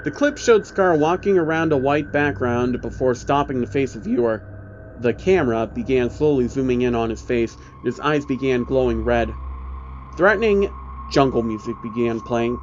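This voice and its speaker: Guy narrating a creepy story, medium pitch, dull lo-fi sound with a bit of noise behind. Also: lively intonation, normal speed, darkish tone. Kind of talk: scripted horror story narration. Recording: dull lo-fi audio, faint background noise.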